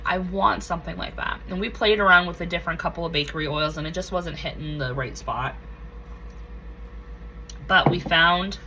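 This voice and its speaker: A woman with a slightly low, bright voice reviews scents in a casual vlog. She is getting over a cold and gushes over everything, repeating her favorite words. A faint hiss sits behind her. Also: poor audio. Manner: gushing, enthusiastic, repeats phrases. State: recovering from a cold, with a cough. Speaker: wax reviewer.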